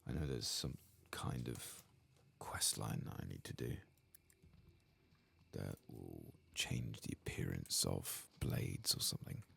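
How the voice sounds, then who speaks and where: A man softly murmuring and half-whispering gameplay commentary in a husky, breathy voice, like an ASMR host. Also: a bass voice, big pitch swings, slow pace.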